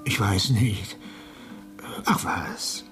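A man's voice: on the low side, sing-song, extremely slow, music in the background, heavily acted. An actor playing a mild, bewildered man in a radio drama, hesitant and stammering as he questions. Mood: disappointed, rueful. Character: nervous, bewildered man, mild and self-doubting. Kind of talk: 1980s German radio drama acting.

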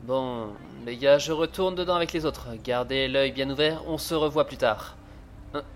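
An actor playing a flustered, put-upon police inspector in an audio drama, stammering and grumbling. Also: male voice, medium pitch, normal speed, slight background noise, natural voice. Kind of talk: French audio drama acting.

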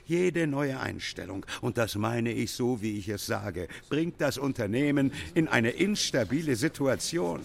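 Creaky-voiced, domineering company boss. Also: male voice, mid-range voice, expressive, unhurried, hardly any pauses. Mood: stern, impatient. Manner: managerial jargon, formal, brooks no objection. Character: smooth, domineering male company boss addressing staff. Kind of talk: staged radio play speech.

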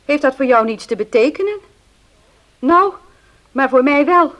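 Stern old farm maid, highish voice. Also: female voice, animated, a little slow, fairly bright tone. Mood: stern, reproachful. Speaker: actor in a radio play. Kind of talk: Dutch radio drama acting.

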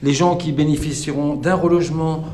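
A man's voice: on the high side, fairly even intonation, fairly quick, a little mellow, slight background noise, close to an everyday voice. A man playing an earnest, self-satisfied engineer in a satirical radio fiction, speaking in a hesitant, defensive, stammering way. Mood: defensive pride. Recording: echoey room with crowd murmur.

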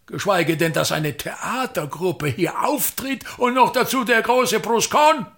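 An actor playing a pompous, grandiose older thespian patriarch in a radio drama, declaiming with gravelly, aggrieved emphasis. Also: male voice, sits high, unhurried, a bit bright, full character voice. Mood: scornful, aggrieved. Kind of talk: theatrical radio drama monologue.

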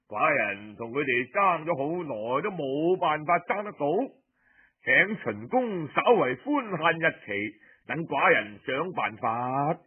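A man with a Cantonese accent voicing a ruler who makes humble, evasive excuses in formal, measured, deferential phrasing. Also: fairly high pitch, expressive, husky, narrow, tinny audio, heavily acted. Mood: apologetic, formal.

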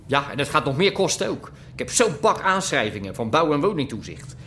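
An actor playing a gruff, grumbling businessman in a naturalistic radio drama, cursing and exasperated. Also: on the high side, very animated, fairly quick, fairly bright tone, slight background noise. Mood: worried, grumbling.

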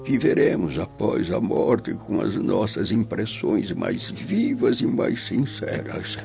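A man's voice: lowish voice, sing-song, slow pace, vocal fry, darkish tone, exaggerated voice. An actor playing a dying, philosophical old Roman father in a Brazilian radio drama, grave and tender, declaiming solemnly. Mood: solemn, consoling. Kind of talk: dramatic radio play acting. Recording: dull lo-fi radio drama, music behind.

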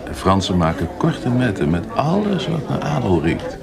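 An actor playing a vengeful, hot-tempered official in a Dutch radio drama, theatrical and threatening. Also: male voice, mid-range voice, very animated, moderate pace, creaky. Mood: sly, suggestive. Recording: loud crowd chatter behind.

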